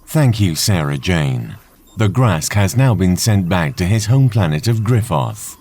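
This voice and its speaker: A man's voice: low voice, sing-song, measured pace, dark tone, slight background noise. A man playing a polite supercomputer with dry sarcasm in an audio drama, formal and matter-of-fact. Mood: helpful.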